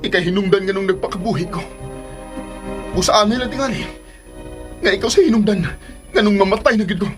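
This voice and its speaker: Man acting a high-voiced, pleading radio-drama lover. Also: fairly quick, long pauses, lightly acted. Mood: desperate, reproachful. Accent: Filipino, Cebuano. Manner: pleading, melodramatic. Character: ardent, insistent man pleading with his lost love. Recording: music under the dialogue.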